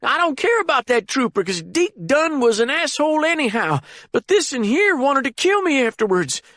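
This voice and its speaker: A man's voice: extremely high pitch, big pitch swings, normal speed, fairly bright tone, no echo, studio quality, voice pushed up, full character voice. A man voicing a panicked older captive who whimpers and pleads in a frantic rush. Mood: panicked pleading.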